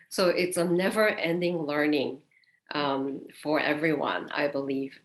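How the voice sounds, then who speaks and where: Woman, 40s, very low pitch, panel speaker, personal account, roomy video call sound. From Japan and the Netherlands.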